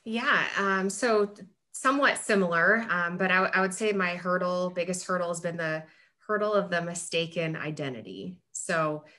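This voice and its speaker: A woman speaking as a panel guest in a friendly, relaxed way. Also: lowish voice, moderate pace. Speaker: sports team manager, panel guest. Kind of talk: panel discussion.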